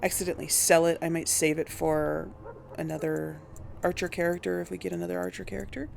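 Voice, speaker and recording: A woman's voice: fairly low pitch, level tone, brisk, gravelly, a little hiss or hum. A woman giving casual gameplay commentary as a host, hesitantly thinking aloud. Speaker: host of a game role-play series.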